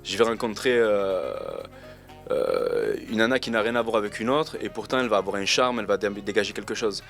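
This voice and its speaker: Twenties dude, lowish voice, chill slangy talk full of uh's, faint music behind. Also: male voice, a little fast, creaky. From Marseille, France. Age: 20s. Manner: relaxed, slangy, hesitant with many fillers. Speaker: reality TV contestant interviewed. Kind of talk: casual interview answers.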